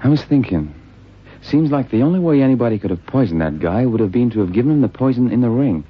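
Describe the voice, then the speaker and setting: An actor playing an earnest, plain-spoken detective's sidekick in an old radio crime drama, slangy and matter-of-fact. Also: male voice, mid-range voice, lively intonation, fast, breathy edge. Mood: puzzled, insistent. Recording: dull vintage radio drama recording.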